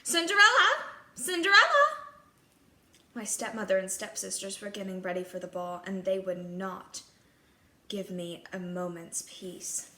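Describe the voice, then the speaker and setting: A woman's voice: lowish voice, little pitch movement, normal speed. A woman narrating a scripted children's fairy tale in the first person, in character as a princess. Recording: echoey room, clear background noise.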